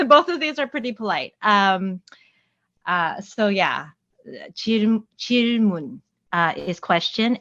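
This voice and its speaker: An American woman co-hosting a podcast in friendly casual chat, laughing often and filling pauses with "like" and "um". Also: on the high side, a bit bright. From United States. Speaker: podcast co-host. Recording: dull dry lo-fi mic.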